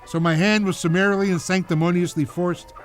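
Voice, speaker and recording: A man playing a jaded, snarky public radio announcer in a deadpan, mock-pompous comic monologue. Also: high voice, brisk, soft background music, natural voice. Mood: jaded, sardonic.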